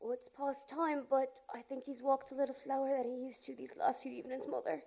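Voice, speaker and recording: A woman playing a high-voiced, sorrowful child. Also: little pitch movement, fairly quick, gravelly, dark tone. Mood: quiet sadness. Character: sad, gentle child comforting grieving parents. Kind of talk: 1930s-style radio play acting.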